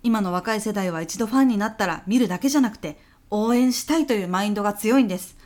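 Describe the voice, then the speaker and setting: A woman playing an earnest, polite businesswoman pitching to a client in a comedy audio drama, speaking deferentially. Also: quick pace, darkish tone, close to an everyday voice. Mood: earnest, persuasive.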